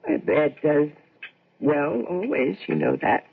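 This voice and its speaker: A woman's voice: low voice, lively intonation, unhurried, vocal fry, slightly rough, heavily acted. An actress playing a practical young housewife and mother, speaking conversationally in an old-time radio drama. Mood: brisk, domestic. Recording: noisy, phone-like old radio broadcast.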